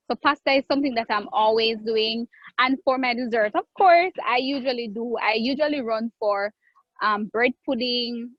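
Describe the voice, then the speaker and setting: A Jamaican woman chef answering viewer questions in a live online cooking class, chatty with drawn-out phrases and restarts. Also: on the high side, dry recording, highs cut off. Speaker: guest chef.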